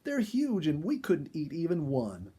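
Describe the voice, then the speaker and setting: A man voicing a kindly, practical, animal-loving wife in a storybook, affectionate and gently teasing. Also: fairly high pitch, huge pitch swings, unhurried, breathy edge, voice pushed up, exaggerated voice.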